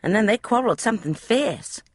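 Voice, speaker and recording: A woman's voice: medium pitch, animated, a little fast, no room sound, clean studio sound, voice pushed up, natural voice. A woman voicing a young, flustered housemaid who gossips in broad, common speech. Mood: gossipy.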